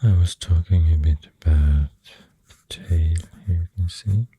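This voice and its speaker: An extremely low, mellow voice with a Swedish accent speaks slowly in soft ASMR style. It slips mostly into a breathy whisper, halting and soothing, close to the microphone. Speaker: ASMR artist drawing while talking. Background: pencil writing and drawing sounds.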